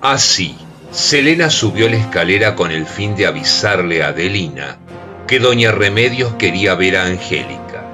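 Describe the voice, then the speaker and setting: Deep-voiced male radio drama narrator, lively intonation. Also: normal speed. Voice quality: grave, expressive storytelling. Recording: music bed under voice.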